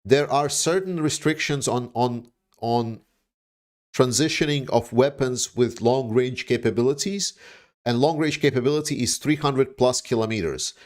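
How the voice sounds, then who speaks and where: A man from Denmark hosting a livestream, answering viewer questions in casual, blunt commentary, haltingly and repeating words for emphasis. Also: mid-range voice. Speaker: livestream host.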